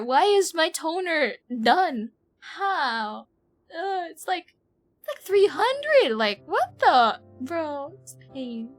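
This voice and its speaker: A woman's voice: a little high, a bit bright. A young woman livestreamer chatting casually over a game, slangy, giggly and self-deprecating. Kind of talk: casual chat while streaming a game.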